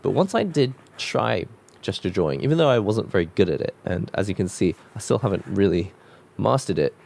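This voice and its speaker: A man with a cold-croaked voice talking casually and reflectively while drawing, musing with loose restarts. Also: lowish voice, a little mellow. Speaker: art vlogger drawing on camera.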